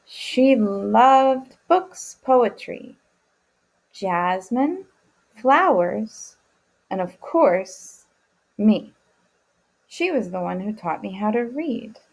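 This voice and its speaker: A woman reading the part of a gentle father telling a story to a child in a picture book. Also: mid-range voice, very animated, extremely slow, creaky, long pauses, heavily acted, rough recording. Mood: fond, reminiscing.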